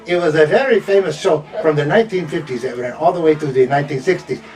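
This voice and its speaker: A man's voice: on the high side, fast talker, darkish tone. A man hosting a children's show, chatting playfully and teasingly with a small child. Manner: playful, teasing, repeats words. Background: music.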